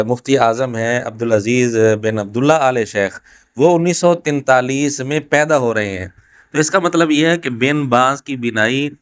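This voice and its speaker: A man with a smooth, clear voice of medium pitch delivers a methodical rebuttal as a religious commentator. He is emphatic and circles back to his points. The recording is dry and close. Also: no room sound. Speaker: religious scholar rebutting a video. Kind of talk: explanatory rebuttal commentary to camera.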